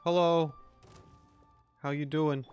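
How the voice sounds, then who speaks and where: A man's voice: fairly high pitch, fairly even intonation, slow, darkish tone, smooth, soft background music. A man giving casual, dry, sarcastic let's-play commentary as a gaming streamer, close to the mic.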